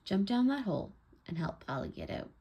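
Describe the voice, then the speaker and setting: A woman takes the part of an unflappable, practical dad in a children's storybook. The voice is husky and very creaky, speaking plainly to the kids. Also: medium pitch, wildly swooping pitch, fairly quick, dark tone, heavily acted. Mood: matter-of-fact. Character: easygoing, practical father of two young girls.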